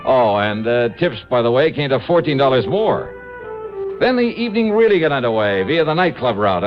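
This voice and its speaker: An actor playing a smitten, wisecracking insurance investigator in a 1950s radio drama, wry and apt to stammer when flustered. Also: male voice, medium pitch, close to an everyday voice. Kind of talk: 1950s radio detective drama, first-person narration and dialogue. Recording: muffled old radio recording, music behind.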